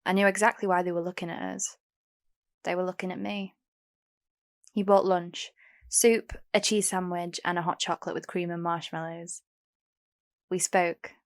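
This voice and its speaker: Actress doing a young homeless girl in an audio play, lowish voice, plain-spoken, guarded but hopeful. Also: level tone, normal speed, long pauses, lightly acted. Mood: reflective, candid. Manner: plain-spoken, candid, short replies. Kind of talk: first-person audio drama narration and dialogue.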